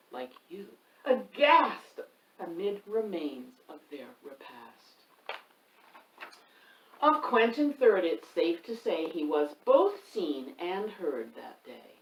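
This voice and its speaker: A woman reading a rhyming picture book aloud, with a lively, sing-song delivery and asides to the listener. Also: medium pitch, takes it slowly, dull audio, slight background noise. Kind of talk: expressive read-aloud storytime. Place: home room. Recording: roomy echo, mic at a distance.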